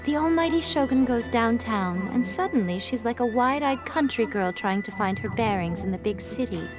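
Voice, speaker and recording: A woman's voice: on the high side, lively intonation, moderate pace, close to an everyday voice. A woman voicing a sly, elegant shrine priestess in a video game, with a teasing, knowing manner. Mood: amused, teasing. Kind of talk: video game character acting. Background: background music.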